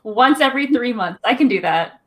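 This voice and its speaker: A woman's voice: fairly high pitch, lively intonation, a little fast, crowd noise. Self-deprecating woman chatting about books. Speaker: guest on a live book show. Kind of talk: casual group chat about books.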